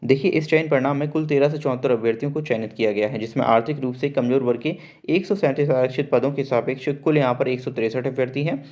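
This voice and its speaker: A man presents an official update in a medium-pitched voice. He reads formally, slipping in English words and restating things plainly for listeners. The recording sounds dull and lo-fi. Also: fast talker, a little mellow. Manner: formal Hindi mixed with English words, repeats points in simpler terms. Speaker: presenter of exam result updates for job aspirants. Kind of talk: explanatory announcement, reading out a notice with commentary.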